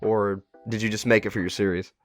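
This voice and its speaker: Guy doing a casual interview, kinda low voice, curious and encouraging, music in the back. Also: flat delivery, brisk. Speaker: interviewer, host. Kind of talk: casual interview about a web horror series. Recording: music playing behind.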